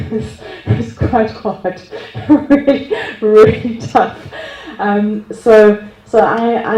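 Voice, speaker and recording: A woman's voice: medium pitch, dark tone, echoey room, low-quality recording. Laughing woman sharing at a gathering. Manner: self-deprecating, laughs often, many restarts. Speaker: group speaker sharing experience. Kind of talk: casual personal sharing at a gathering. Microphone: handheld microphone.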